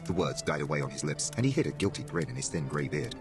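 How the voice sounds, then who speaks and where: A man's voice: very deep voice, animated, quick pace, music in the background. A man narrating a story as an audiobook narrator, in a dramatic scripted reading style.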